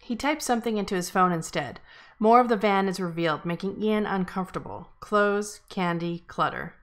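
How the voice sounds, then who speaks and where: A woman's voice: mid-range voice, moderate pace, fairly bright tone. A woman reading stage directions from a film script as scripted narration at a table read. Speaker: stand-in stage directions reader.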